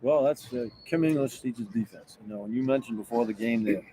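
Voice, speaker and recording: A man's voice: medium pitch, brisk, mellow, slight background noise, rough recording. A man giving conversational postgame analysis as a radio color analyst, plainspoken and opinionated.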